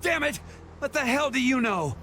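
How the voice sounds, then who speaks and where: A man's voice: very high-pitched, sing-song, normal speed, pushed and bright, slight background noise, heavily acted. A man playing a rash, defensive young guild heir in a video game. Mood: angry. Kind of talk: video game dub acting.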